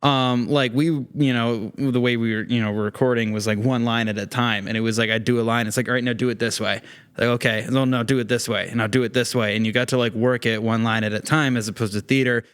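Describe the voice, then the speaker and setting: Clear-voiced man chatting as podcast guest. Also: medium pitch, fairly even intonation, fast, a bit bright. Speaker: podcast guest, theatre actor. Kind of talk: casual conversational interview.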